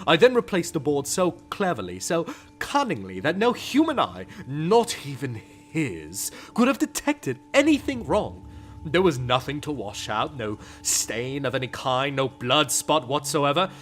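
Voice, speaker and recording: High, theatrical male gothic reader. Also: big pitch swings, unhurried. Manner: theatrical, swinging between hushed whispers and frenzied shouts. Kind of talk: dramatic reading of a gothic tale. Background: clear music.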